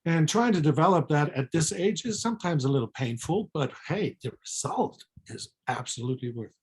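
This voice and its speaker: A middle-aged speaker from Germany with a German accent and a husky voice, talking frankly and reflectively in a casual chat. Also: mid-range voice, expressive, a little fast, darkish tone. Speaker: physician and co-author, guest.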